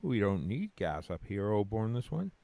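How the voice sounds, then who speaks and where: Audio drama role: man as dry, patient companion, low dark voice, deadpan and measured. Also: gravelly, no room sound. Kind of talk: scripted audio drama acting.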